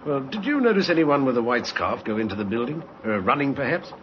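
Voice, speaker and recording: Methodical police inspector, male actor, courteous yet in charge, expressive mid-range voice, radio crime drama, slightly noisy muffled recording. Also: mid-range voice, fast, close to an everyday voice. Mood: probing. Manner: musing, courteous, commanding. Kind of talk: old-time radio crime drama acting.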